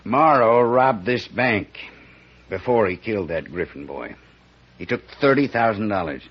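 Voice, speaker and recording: A worried frontier banker in a vintage radio Western, folksy and earnest, with an American accent. The voice is low and rough-edged, caught on a dull old recording. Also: male voice, lively intonation, a little slow, heavily acted. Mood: anxious, desperate. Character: worried small-town Western banker man, folksy and anxious. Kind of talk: old-time radio drama acting. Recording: muffled, band-limited old radio recording.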